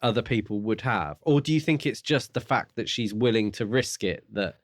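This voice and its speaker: A man's voice: on the low side, animated, normal speed, no echo, clean studio sound. A man co-hosting a podcast in a casual discussion about a book. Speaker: podcast co-host.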